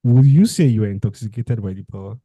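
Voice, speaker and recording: A man's voice: lowish voice, fast, dark tone, slightly rough, no room sound. A young man hosting a podcast, asking hesitant, circling questions full of "like" and "um". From Nigeria. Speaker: podcast host. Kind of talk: casual conversational interview.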